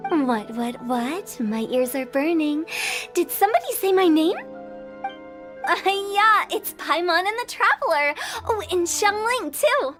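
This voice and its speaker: A woman's voice: very high voice, lively intonation, unhurried, music in the background. A woman voicing a playful, mischievous young woman who teases and lectures with flair. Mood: teasing. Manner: teasing, sing-song, lecturing with flair.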